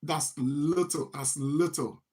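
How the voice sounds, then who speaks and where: A man's voice: a little high, fairly even intonation, slow pace, darkish tone. A man from Ghana who hosts a farming channel. He gives a motivational talk on finance, repeats phrases, and asks rhetorical "what?" questions that he then answers himself.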